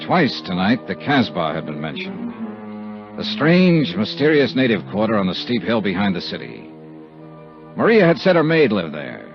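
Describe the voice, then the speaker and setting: An actor playing a terse, sardonic American investigator in a 1950s radio detective drama, speaking dialogue and first-person narration. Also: male voice, on the low side, huge pitch swings, moderate pace, over music. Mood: brisk narrating. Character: hardboiled, wisecracking American insurance investigator. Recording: dull, lo-fi 1950s radio recording.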